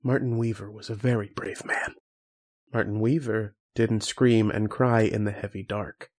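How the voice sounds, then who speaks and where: An actor playing a haunted, fearful scientist who narrates his ordeal in a dramatic, halting horror radio monologue. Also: lowish voice, little pitch movement, darkish tone. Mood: hushed dread. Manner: dramatic, halting, repeating phrases.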